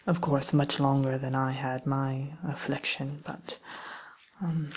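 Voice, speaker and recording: A woman playing a sly, genteel evil queen shopkeeper in a soft, breathy, often whispered ASMR role play. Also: rumbling low, little pitch movement, slight background noise. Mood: sly, confiding. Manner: unhurried, genteel, slyly menacing.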